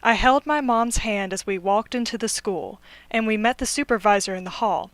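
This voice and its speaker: A woman student interpreter voicing a signer's personal story in steady first-person narration. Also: mid-range voice, normal speed, dry recording.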